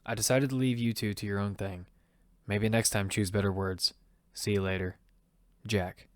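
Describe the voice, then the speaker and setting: A man's voice: fairly low pitch, fairly even intonation, moderate pace, no room sound, studio quality, close to an everyday voice. A man playing a hot-tempered father who narrates his own battle-royale survival story in an amateur audio drama. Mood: grim, measured. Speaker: narrator and lead actor. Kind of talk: first-person narration and dialogue in amateur audio fiction. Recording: background music under the voice.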